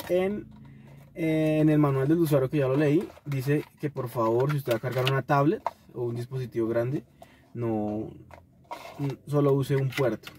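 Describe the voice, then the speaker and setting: A young Colombian man giving a casual, halting vlog review in an informal way, recorded inside a car. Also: mid-range voice, dark tone. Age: young adult. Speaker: video host reviewing a gadget. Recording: noisy car-cabin recording with clicks.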